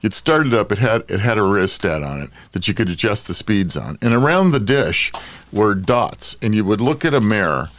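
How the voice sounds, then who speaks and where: A man's voice: on the low side, expressive, normal speed, a bit bright. An older man co-hosting a podcast, chatting casually and telling anecdotes. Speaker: podcast co-host.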